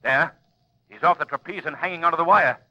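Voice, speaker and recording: An actor playing a commanding, sardonic invisible crime-fighter, taunting and deliberate in 1940s radio style. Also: male voice, on the high side, pushed and bright, no echo, highs cut off. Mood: urgent command.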